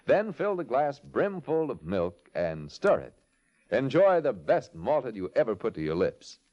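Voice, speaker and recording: A man's voice: mid-range voice, very animated, a little slow. An American man announcing on 1950s radio in a hearty, polished, scripted sales delivery. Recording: dull, band-limited old radio recording.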